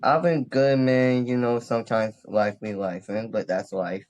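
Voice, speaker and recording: A man's voice: medium pitch, fairly even intonation, a little slow, darkish tone, muffled recording. A man of twenty from Connecticut, a rapper guest talking casually and laughing often. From Bridgeport, Connecticut. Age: 20s. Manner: laid-back, laughs often, slangy. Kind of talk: casual interview chat.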